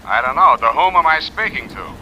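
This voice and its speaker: An actor playing a wisecracking, impatient private detective with a brusque, wry manner in a 1940s radio noir drama. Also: male voice, medium pitch, sing-song, fairly quick, pushed and bright, full character voice. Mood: wry impatience. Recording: dull, noisy old radio recording.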